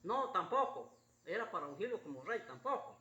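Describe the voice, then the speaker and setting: Husky, echoey preacher with lively swings in pitch. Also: muffled recording. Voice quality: slightly husky, hoarse voice. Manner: halting, repetitive, simple homespun phrasing. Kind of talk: informal Bible sermon with retellings and readings. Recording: roomy echo with faint background noise.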